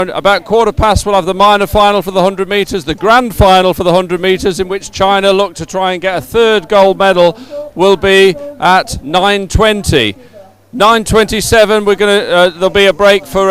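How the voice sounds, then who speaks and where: A man's voice: high voice, fairly bright tone, smooth. A man hosting a live sports stream in an informal, somewhat hesitant way. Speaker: livestream host.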